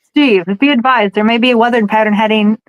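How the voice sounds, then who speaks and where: A woman reading a ground radio operator in a casual, deadpan table read. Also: on the high side, fairly quick, dry recording, close to an everyday voice, low-quality recording. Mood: calm. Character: male ground radio operator calling a pilot.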